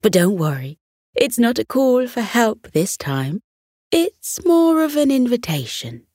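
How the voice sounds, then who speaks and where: A kindly, formal female head teacher played by a woman narrator in a children's bedtime story. She speaks politely and with measured care, and her pitch swoops in a very lively way. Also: dry recording, studio quality. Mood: kindly, reassuring.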